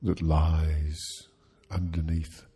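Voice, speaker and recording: A man leading a guided sleep hypnosis, speaking soothingly and gently in short, halting phrases with long pauses. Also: a bass voice, level tone, slightly rough.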